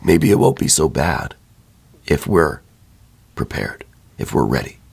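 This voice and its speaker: A man with a hoarse voice, worn down by laryngitis, giving a soft, thoughtful ASMR tarot reading. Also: very deep voice, lively intonation, a little slow. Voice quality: hoarse, breaking into whispers. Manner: soft, thoughtful, with many fillers and repeats. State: losing his voice from laryngitis. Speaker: tarot reader.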